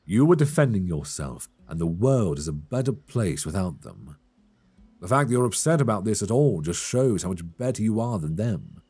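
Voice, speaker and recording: Low, husky elf adventurer played by a man. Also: heavily acted. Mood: tender, encouraging. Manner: gentle, soothing, measured delivery. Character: calm, reassuring male elf adventurer, seasoned archer. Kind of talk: intimate ASMR fantasy roleplay acting. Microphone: close binaural microphone.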